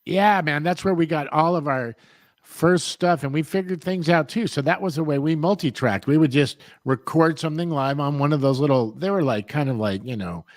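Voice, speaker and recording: A man's voice: a little high, moderate pace, no room sound. Laid-back older male host, dry mic. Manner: rambling, laid-back, lots of fillers. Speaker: podcast host. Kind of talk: casual interview chat.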